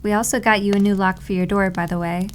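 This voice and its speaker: A woman's voice: medium pitch, fairly even intonation, fast talker, slight background noise, natural voice. A woman acting as a doting, possessive maid girlfriend in an ASMR roleplay, cooing and confidently teasing. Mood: playful, gleeful.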